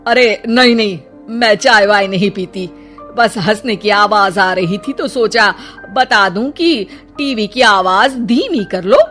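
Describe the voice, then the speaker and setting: A woman performs a haughty middle-aged mother-in-law from a family cartoon. She is jealous and boastful, stressing her words in a scolding way at a middling pitch, with music underneath. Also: slow, fairly bright tone.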